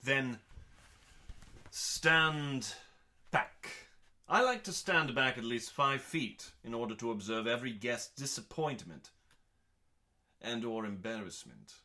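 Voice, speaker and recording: A male host delivers scripted text as mock drama. His voice sits at a middling pitch with a bright, forceful edge. He takes his time, leaving theatrical pauses and sounding grandly self-important. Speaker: host reading comedic cookbook recipes.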